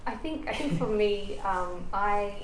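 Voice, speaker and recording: A woman's voice: mid-range voice, expressive, measured pace, a loud crowd. A woman with an Australian accent, answering hesitantly and often restarting as an interview guest. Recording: echoey room, background noise.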